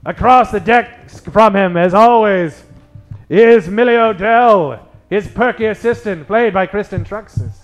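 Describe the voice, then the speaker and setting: A man narrating a tongue-in-cheek 1940s-style radio detective show, melodramatic, drawing out his punchlines. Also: high voice. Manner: theatrical, melodramatic, drawn-out punchlines. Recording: music playing behind the voice.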